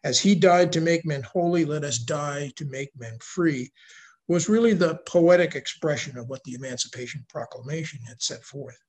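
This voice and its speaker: An older man giving a prepared slide lecture on history over a video call, reading in a measured way. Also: fairly high pitch, darkish tone. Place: indoor room at home, video call. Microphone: video call microphone.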